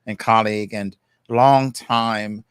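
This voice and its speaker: A man's voice: fairly low pitch, steady tone, slow pace, clean tone, no room sound, studio quality. A man in his sixties with a Jamaican accent, a guest speaking reflectively in casual conversation and often adding "you know" and "right".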